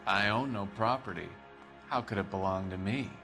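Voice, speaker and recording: Bitter American drifter killer for a crime game: lowish, hard-edged voice, contemptuous, music behind. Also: male voice, moderate pace, sharp tone. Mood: cold, defiant. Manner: cold, contemptuous, stilted pronouncements. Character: defiant, bitter vagrant ex-Marine killer, adult man. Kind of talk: video game cutscene acting.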